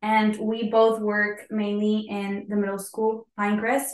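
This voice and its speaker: A woman's voice: mid-range voice, completely flat delivery, unhurried. A young woman from Mexico, a lay missionary, speaking in a matter-of-fact way. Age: young adult. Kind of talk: casual chat. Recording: echoey room.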